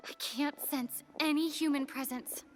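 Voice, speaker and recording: A woman's voice: sits high, steady tone, a little slow, slight background noise. A woman voicing a grave, observant young traveler in a video game, recording a terse descriptive message.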